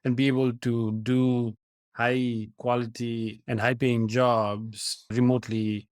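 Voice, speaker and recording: A man's voice: medium pitch, little pitch movement, slow, no room sound. Nepal-born man explaining, dry sound. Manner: earnest, explanatory, with fillers and restarts. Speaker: guest, AI company founder. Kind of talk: conversational interview answers.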